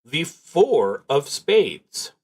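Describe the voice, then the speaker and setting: A man narrating a solo tabletop game, reading cards aloud and thinking out loud in a casual, wry way. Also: a little high, sing-song, drawn-out words. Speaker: solo roleplaying game streamer.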